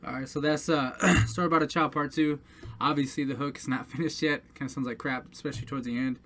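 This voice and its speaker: A man's voice: medium pitch, level tone, fast talker, fairly bright tone, faint music behind. A man casually talking to his audience as a musician, hesitant and earnest, with many fillers and restarts. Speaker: independent musician presenting his songs. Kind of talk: casual talk to camera.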